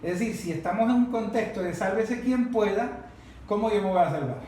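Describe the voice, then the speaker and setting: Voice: medium pitch, a little fast, warm tone. The speaker has a Venezuelan accent and leads a political discussion with emphatic, didactic delivery, repeating phrases to drive points home. The recording is heavily reverberant, with faint noise underneath. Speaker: discussion leader. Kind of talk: impassioned political talk to a group. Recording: very echoey room, faint noise.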